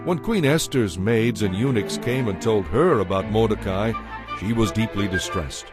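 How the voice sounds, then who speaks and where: A man's voice: fairly low pitch, animated, moderate pace. Solemn male narrator over prominent music. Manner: solemn, storytelling. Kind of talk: dramatized scripted Bible narration.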